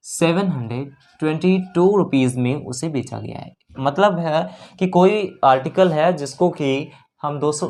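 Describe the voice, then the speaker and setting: A man's voice: fairly high pitch, darkish tone. A man teaching an exam-prep lesson step by step, repeating phrases and mixing in English terms. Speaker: maths teacher.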